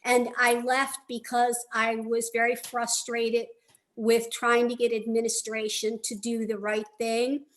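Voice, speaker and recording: A woman giving earnest, somewhat halting public testimony as a former school staff member. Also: a little high.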